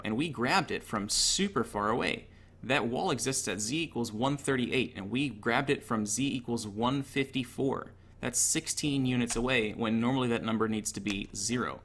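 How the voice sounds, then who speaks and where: A man's voice: mid-range voice, a bit bright, breathy edge. A man giving an informal, technical tutorial over gameplay in a matter-of-fact, analytical way. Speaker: gaming tutorial narrator.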